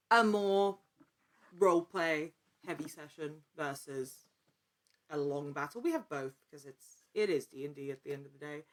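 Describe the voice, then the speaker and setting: Wordy, low-pitched woman chatting. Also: fairly bright tone. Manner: wordy, self-interrupting, rambling. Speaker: podcast guest, actor and producer. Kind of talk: casual interview chat.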